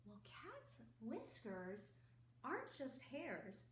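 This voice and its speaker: High, very lively woman leading children's storytime. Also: slow, slight background noise. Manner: simple, expressive speech aimed at small children. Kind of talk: children's storytime, reading and simple rhymes. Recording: roomy echo.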